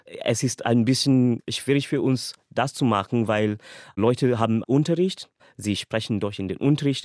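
A man's voice: medium pitch, a little mellow, dry recording, clean studio sound. A young man from Kenya, a language student whose first languages are English and Swahili, giving a casual, earnest personal account and laughing lightly between thoughts.

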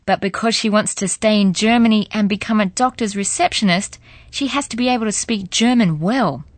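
Woman, language-course narrator, measured explanatory delivery, dry close sound. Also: mid-range voice, dry recording. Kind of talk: scripted narration.

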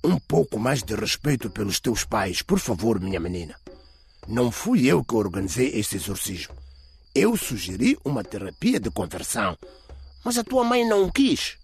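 A man's voice: mid-range voice, big pitch swings, normal speed. A man playing a defensive, cowardly father worried about appearances in a radio drama.

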